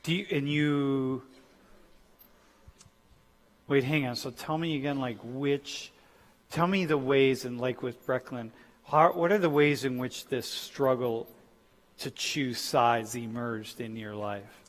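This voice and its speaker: Male interviewer, mid-pitch, rephrases his questions, long hall reverb. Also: fairly even intonation, slow pace. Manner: probing, rephrases questions, calls guests "bro".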